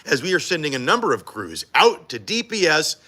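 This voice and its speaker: A man co-hosts a live morning TV show with a bright, ringing voice that is a little high for a man. He jokes, teases and pokes fun at himself, and his pitch swings widely as he talks. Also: sing-song, a little fast. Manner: jokey, teasing, self-mocking. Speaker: morning TV news co-host. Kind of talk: live behind-the-scenes morning show banter. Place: TV studio during a live broadcast.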